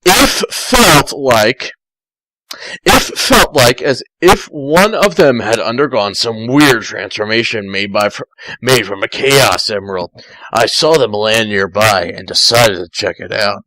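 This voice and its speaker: Man putting on a solemn, gruff male cartoon echidna, lowered pitch, slow deliberate halting delivery, watchful. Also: lively intonation, bright, forceful tone, dry recording, poor audio. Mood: suspicious, solemn. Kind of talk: amateur cartoon fan fiction reading.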